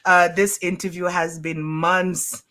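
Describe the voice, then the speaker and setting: A woman hosting a community radio interview, speaking in a formal, deliberate, courteous way. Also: fairly low pitch, flat delivery, sharp tone. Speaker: radio show host.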